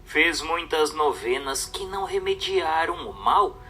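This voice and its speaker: A man with a Brazilian accent reads a religious book as scripted narration, in measured, declamatory phrasing. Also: a little high, lively intonation, pushed and bright, breathy edge, distant mic.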